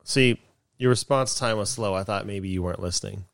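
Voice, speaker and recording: A man's voice: fairly low pitch, a little fast, gravelly, a bit bright, dry recording. Rambling, dry-joking young man on a close mic. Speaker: podcast co-host. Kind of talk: casual comedic podcast banter. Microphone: desk mic with cameras.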